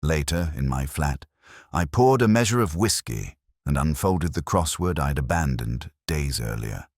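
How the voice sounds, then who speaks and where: A man playing a weary, melancholy middle-aged police inspector, narrating a detective drama in a measured, literary, reflective way. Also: extremely low pitch, lively intonation, dry recording, studio quality, heavily acted. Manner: measured, literary, brooding delivery. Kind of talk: first-person scripted detective drama narration.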